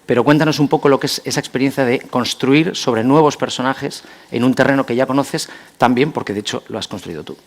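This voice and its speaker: A man's voice: medium pitch, a little fast, few pauses. A man conducting a conversational literary interview, circling hesitantly around his questions.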